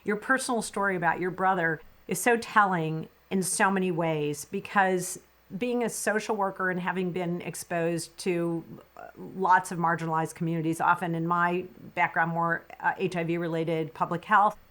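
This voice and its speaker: A woman's voice: fairly low pitch, fairly even intonation, moderate pace, fairly bright tone. A middle-aged woman hosting a podcast interview, chatty and affirming, with frequent likes and uhs. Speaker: podcast host.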